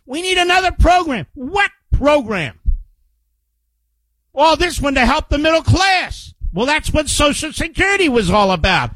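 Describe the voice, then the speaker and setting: A man's voice: extremely high pitch, bright, forceful tone, no room sound, poor audio. An older man with an American accent hosting a political talk radio show, emphatic and combative, repeating phrases to drive his point home. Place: radio studio. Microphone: close studio microphone.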